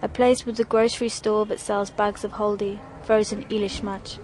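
A woman reading her own poetic voice-over narration, in a measured, evocative way with short fragmented phrases. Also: mid-range voice, little pitch movement, a crowd in the background.

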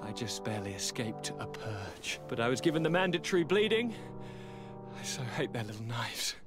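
A man's voice: mid-range voice, sing-song, normal speed, close to an everyday voice. Dramatic young noble guy male actor, nervy n emotional, voice all over the place, game scene w music. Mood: flippant, jittery. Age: young adult. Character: theatrical, nervy young aristocrat, male, vulnerable. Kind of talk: scripted video game acting.

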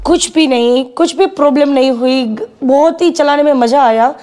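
A woman's voice: high-pitched, normal speed, warm tone. A woman in her thirties, a customer giving a testimonial in casual interview answers. She praises enthusiastically and repeats herself, with hesitant fillers, on a lapel mic in an indoor shop office.

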